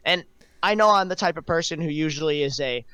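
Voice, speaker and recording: A man's voice: on the high side, fast talker, sharp tone, smooth, dry recording. A young man talking casually and reflectively on a podcast, feeling his way through his phrases. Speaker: podcast host.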